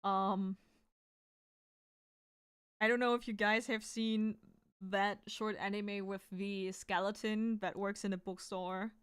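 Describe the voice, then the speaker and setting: Smooth-voiced woman chatting loosely. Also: mid-range voice, level tone, slow, pauses often. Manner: rambling, thinking aloud with many fillers. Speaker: artist streaming while drawing. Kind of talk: casual chat to stream viewers.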